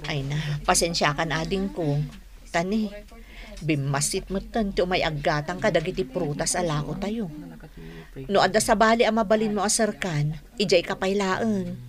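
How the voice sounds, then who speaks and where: A woman's voice: lowish voice, normal speed, crowd noise, natural voice. A woman playing a worried, plain-spoken married market vendor in an Ilocano radio drama. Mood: apologetic.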